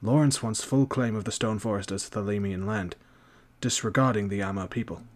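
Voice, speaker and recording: A man's voice: lowish voice, fairly quick, close to an everyday voice. A man playing a serious, formal nobleman in an audio drama. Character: serious nobleman discussing kingdom politics.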